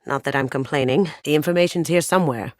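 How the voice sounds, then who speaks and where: A woman's voice: deep voice, quick pace, darkish tone, no room sound, close to an everyday voice. An actress playing a sly, playful young woman squadmate in a video game, with a dry, understated wit. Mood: dry, casual. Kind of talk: scripted video game companion dialogue.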